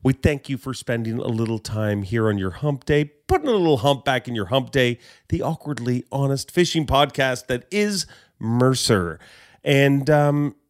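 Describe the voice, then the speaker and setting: A man chatting as a podcast host, his voice slightly hoarse and swinging widely in pitch. He talks in a loose, self-mocking, joking way, wandering from point to point. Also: lively intonation, normal speed. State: a little hoarse. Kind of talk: casual podcast chat.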